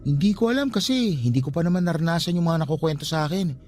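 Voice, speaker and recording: A Filipino man acting in a radio drama as a calm, respectful young caretaker of a haunted guesthouse, speaking politely and reassuringly. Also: fairly high pitch, fast, lightly acted. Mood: matter-of-fact.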